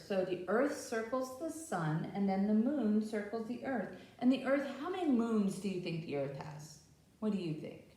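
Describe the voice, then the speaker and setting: Slow-paced woman in a very echoey room. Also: fairly high pitch, mellow. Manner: simple explanations with frequent questions to children. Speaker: library storytime presenter. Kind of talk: interactive children's read-aloud and teaching talk. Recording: very echoey room, distant microphone.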